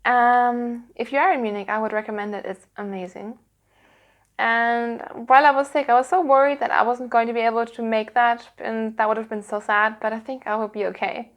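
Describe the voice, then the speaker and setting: A woman's voice: fairly high pitch, fairly even intonation, fairly quick, lo-fi sound. A woman hosting her own podcast, chatting casually to her audience in a rambly, earnest way. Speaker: podcast host. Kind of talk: casual chatty vlog to viewers.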